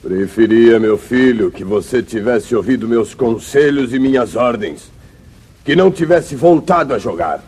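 A man's voice: medium pitch, animated, measured pace, a little mellow, breathy edge. An actor playing a stern, reproachful father in a Brazilian radio drama of the 1950s. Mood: grave, disappointed. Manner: grave, reproachful, commanding. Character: stern, wronged father of a grown son, a rancher.

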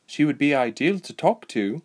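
A man's voice: on the high side, expressive, moderate pace, a little mellow, voice pushed up. A man voicing an easygoing female elf fighter with a dryly teasing manner, in a fantasy storybook. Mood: friendly, teasing.

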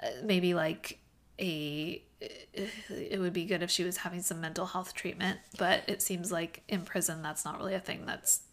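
A woman's voice: lowish voice, steady tone, moderate pace. A young woman co-hosting a podcast in casual chat, stuffy and sniffly from allergies, chatty and full of fillers. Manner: chatty, tangent-prone, lots of fillers. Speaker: podcast co-host.

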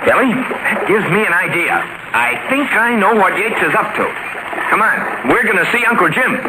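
An actor playing a commanding, decisive all-American young hero in a 1940s radio adventure serial. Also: male voice, high-pitched, expressive, normal speed. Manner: decisive, take-charge. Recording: noisy old 1940s radio recording.

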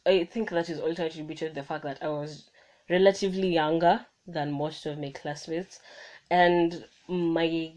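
Young woman, low voice, solo podcast host recorded on a phone, casual and encouraging, stumbles and restarts. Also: darkish tone. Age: young adult. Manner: chatty, self-correcting, motivational. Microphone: phone microphone.

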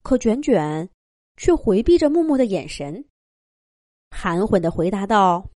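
A woman narrating a children's fairy tale in an expressive, scripted storytelling style. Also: medium pitch, measured pace, no room sound, clean studio sound.

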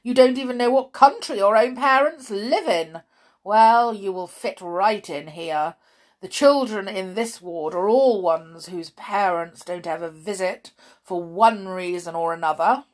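A woman's voice: mid-range voice, a little slow, close to an everyday voice. A woman voicing a cruel, bossy hospital matron from a storybook, with a sneering, theatrically sarcastic delivery. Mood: sarcastic, scornful. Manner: theatrically scornful, sarcastic, snapping.

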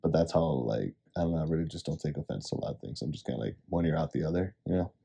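Chrapliwy, bardzo ciemny męski głos. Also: male voice, rumbling low, racing, creaky, warm tone, slightly rough. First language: Spanish. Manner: laid-back storytelling, many "like"s. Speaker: podcast co-host. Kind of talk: casual chat.

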